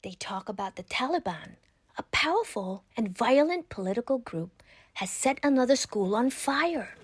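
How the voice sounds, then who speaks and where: A woman narrating a children's picture book aloud in a measured, expressive reading style. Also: on the high side, no echo. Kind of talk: scripted storybook narration.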